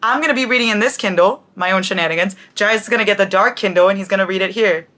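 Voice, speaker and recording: A young woman hosts a book vlog from home in a bubbly, chatty way, her voice sitting at a medium pitch. She keeps slipping in y'all as she talks to viewers. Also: fast, fairly bright tone. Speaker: book vlogger, channel host. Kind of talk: casual vlog chat.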